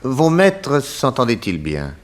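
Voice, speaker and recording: A man's voice: mid-range voice, wildly swooping pitch, takes it slowly. A man playing a shrewd, probing police detective with a wry, insistent manner in a 1960s French radio drama. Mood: businesslike, probing. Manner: inquisitive, wry, casually insistent.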